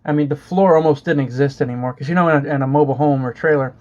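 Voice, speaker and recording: A young man from Florida talks casually at home with a slightly raised pitch, swearing freely and restarting his sentences. He fills his talk with uh and you know as he rambles. The recording sounds muffled and lo-fi. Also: rapid-fire, a little mellow. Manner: rambling, profane, many fillers and restarts. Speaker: vlogger. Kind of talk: casual chat.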